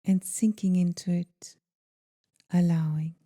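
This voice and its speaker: Very dark, soft-toned slow woman guiding meditation. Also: on the low side, fairly even intonation. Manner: soothing, deliberate, gentle delivery with pauses. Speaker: meditation guide. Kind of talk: guided meditation.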